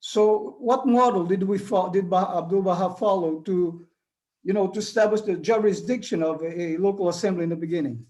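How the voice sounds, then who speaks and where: A man's voice: sits high, a little fast, darkish tone. An older man asking a question in an online class, hesitant and searching for words. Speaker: student. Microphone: earphone mic on video call.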